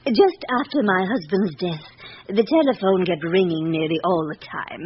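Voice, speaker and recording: An actress playing an elderly, aristocratic French widow in a vintage radio drama, speaking formally and candidly with composure. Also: female voice, fairly low pitch, moderate pace, natural voice. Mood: composed, wistful. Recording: dull, hissy old radio broadcast.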